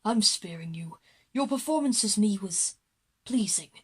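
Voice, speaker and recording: A woman voicing a menacing, gloating male devil in a children's audio drama, slow and grandiose with a deep, breathy tone. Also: animated.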